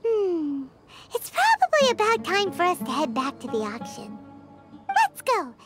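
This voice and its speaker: Tiny chatty fairy sidekick for a game: extremely high, childlike squeak, hugely swooping delivery. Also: female voice, wildly swooping pitch, unhurried, heavily acted. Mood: tired, chatty. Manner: refers to self in third person, exclaims. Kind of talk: video game voice acting. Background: music.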